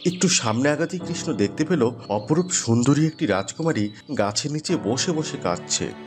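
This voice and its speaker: A man's voice: medium pitch, lively intonation, moderate pace, over music. A man telling a scripted fairy tale as the storyteller. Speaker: storyteller, narrator.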